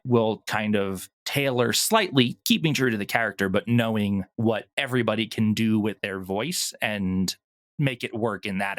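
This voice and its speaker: A man's voice: on the low side, dry recording, clean studio sound. A man talking casually as an interview guest, explaining things earnestly. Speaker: podcast guest, audio drama producer.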